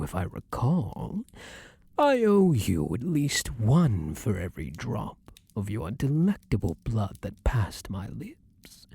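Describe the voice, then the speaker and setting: A man's voice: lowish voice, vocal fry, dark tone, husky, no echo, exaggerated voice. A man playing a vampire king, an ardent, protective lover with courtly, formal phrasing, in an intimate ASMR roleplay.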